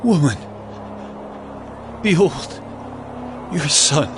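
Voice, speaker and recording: An actor playing a calm, solemn condemned holy man in a dramatized scripture reading, speaking in a measured way. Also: on the high side, background noise, full character voice. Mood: tender, grave.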